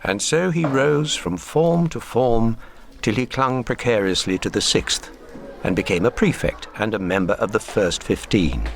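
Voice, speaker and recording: A man playing a measured, wry literary narrator in a radio drama. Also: fairly low pitch, gravelly, a little hiss or hum.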